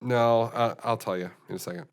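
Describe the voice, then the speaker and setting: A man's voice: mid-range voice, fairly quick, clear, resonant tone. An American man co-hosting a podcast, chatting casually and rambling with many restarts and fillers. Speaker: podcast co-host. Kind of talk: casual chat.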